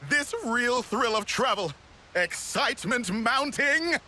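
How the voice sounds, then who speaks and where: Slow, high deadpan little creature. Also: male voice, slight background noise, exaggerated voice. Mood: dry, unimpressed. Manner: deadpan, clipped broken grammar. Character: small, deadpan furry Noppon creature. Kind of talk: video game cutscene acting.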